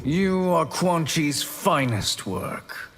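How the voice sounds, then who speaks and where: An actor playing a sly, haughty sorcerer in a fighting game, formal, measured and smug. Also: male voice, on the high side, lively intonation, in a large hall, loud music behind the voice. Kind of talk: video game fighter dialogue.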